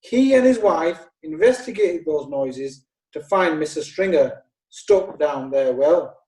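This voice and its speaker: Man as a high, mellow-toned pompous prosecutor, radio drama, distant and roomy. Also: animated, slow pace. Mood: accusing. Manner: formal, theatrical courtroom oratory. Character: pompous male prosecuting lawyer.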